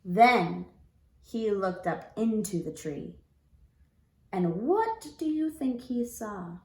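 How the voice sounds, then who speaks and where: A woman teacher reading a storybook aloud to young children in an expressive, deliberate way. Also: mid-range voice, roomy sound. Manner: deliberate, expressive, prompting listeners with questions.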